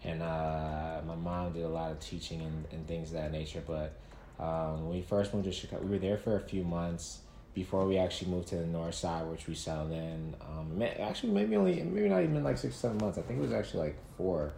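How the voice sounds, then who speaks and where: Deep, mellow man telling his story. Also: a little fast, gravelly. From Nigeria. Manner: relaxed, many fillers and restarts. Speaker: guest. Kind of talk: casual interview storytelling. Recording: echoey room with faint noise.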